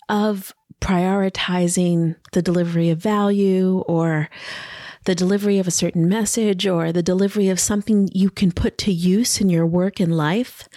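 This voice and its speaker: A woman hosting her own podcast in a casual, reflective monologue, speaking softly and gently, her voice a little scratchy from allergies. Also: fairly low pitch, no echo, studio quality. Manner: slow, soft and gentle, with drawn-out pauses. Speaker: podcast host. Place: home by a river, indoors, wind outside.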